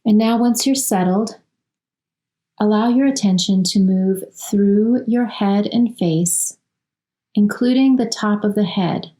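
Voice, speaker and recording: A woman leading a guided relaxation as a yoga nidra instructor, speaking soothingly in short, unhurried phrases with long pauses. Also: mid-range voice, warm tone, rough recording.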